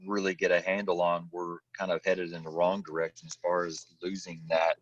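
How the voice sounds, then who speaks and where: A man's voice: low-pitched, flat delivery, a little fast. A man hosting a podcast interview, rambling and hesitant as he searches for his words. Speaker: podcast host. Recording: dull, distant dry remote audio.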